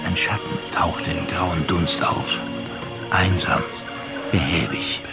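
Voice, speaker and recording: A man with a standard German accent narrating a literary radio drama in a measured, sober way. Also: low-pitched, vocal fry. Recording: music under the voice.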